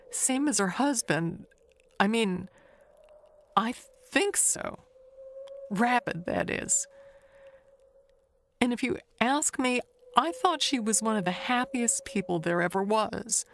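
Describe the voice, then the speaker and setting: Woman acting older neighbor lady, slow n rambling, evasive, audio mystery, noisy background. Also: medium pitch. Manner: halting, rambling, confiding.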